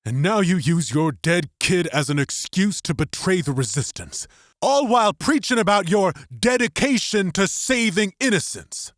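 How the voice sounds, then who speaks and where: A man's voice: high voice, lively intonation, normal speed, no room sound, clean studio sound, pitched above the performer's own voice. Man acting a betrayed, furious resistance fighter. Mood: bitter anger. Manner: icy, snapping, hissing. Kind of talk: dramatized audiobook acting.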